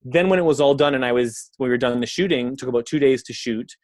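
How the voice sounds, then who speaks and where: A man's voice: mid-range voice, fast, darkish tone, dry recording, clean studio sound. A man, a filmmaker from Canada, talking casually on a podcast in a rambling way, full of fillers and the odd swear word. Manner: rambling, heavy on fillers and profanity. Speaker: filmmaker guest.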